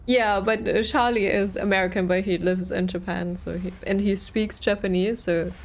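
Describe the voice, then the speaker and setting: A woman's voice: mid-range voice, steady tone, normal speed. A young woman whose first language is not English, chatting casually while playing a video game and laughing often. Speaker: gameplay streamer. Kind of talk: casual gameplay commentary.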